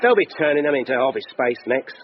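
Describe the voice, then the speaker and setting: A man with a London accent acting in a radio drama as a gruff, mocking working-class market butcher. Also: medium pitch, expressive, fast, highs cut off, faint music behind, some chatter behind, close to an everyday voice. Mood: dismissive. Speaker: actor.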